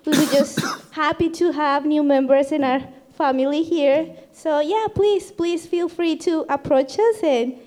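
Colombian woman, high voice, light Spanish accent, shy friendly church greeting. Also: unhurried, few pauses. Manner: laughs often, shy and gentle. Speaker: pastor's wife, ministry leader. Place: church sanctuary.

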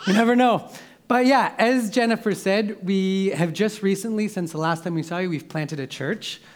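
A young man pastor preaching with casual, emotional asides, laughing often and clearing a scratchy throat into a handheld microphone in a church. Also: high voice, moderate pace. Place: church sanctuary.

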